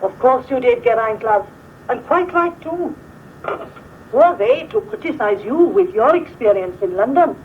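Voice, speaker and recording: A woman's voice: fairly high pitch, lively intonation, normal speed, lightly acted. An actress playing a genteel, chatty village woman with a Welsh accent, polite and assured, in 1950s film dialogue. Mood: fussing, reassuring. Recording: thin phone-like old film tape, faint hiss.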